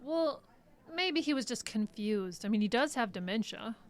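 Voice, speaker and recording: An actress playing a caring but defensive caregiver in a radio drama, hesitant and conversational. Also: female voice, fairly high pitch, expressive, moderate pace. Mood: defensive, uneasy. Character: caring, defensive female caregiver to an old doctor.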